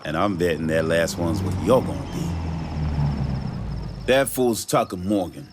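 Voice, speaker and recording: An actor playing a cocky, folksy racing mentor in a video game, with a swaggering, teasing, informal way of talking. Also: male voice, low-pitched, slight background noise. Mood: welcoming. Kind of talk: video game cutscene acting.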